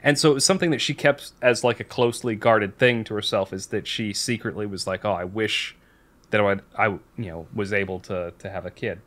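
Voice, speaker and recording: A man's voice: fairly low pitch, fairly quick, fairly bright tone. Rambling man chatting casually on a podcast. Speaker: podcast host.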